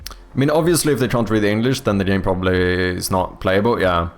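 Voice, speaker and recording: A Swedish man, a native Swedish speaker, chatting casually on a livestream and thinking out loud, with many fillers and restarts. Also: on the low side, expressive, a little fast, soft background music. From Sweden. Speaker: game developer streamer. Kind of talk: casual livestream chat answering viewers.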